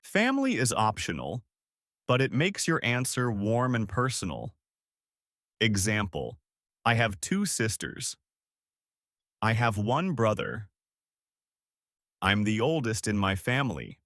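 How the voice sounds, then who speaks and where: A man co-hosting a podcast English lesson, explaining example phrases in a clear, upbeat way. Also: on the low side, very animated, takes it slowly, long pauses, fairly bright tone, no echo, clean studio sound. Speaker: podcast co-host and English teacher. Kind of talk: scripted English lesson dialogue.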